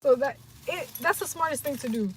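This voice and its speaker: A young woman livestreaming, chatting casually and rambling in a slangy, animated way to her viewers. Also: high-pitched, fairly quick, a little hiss or hum.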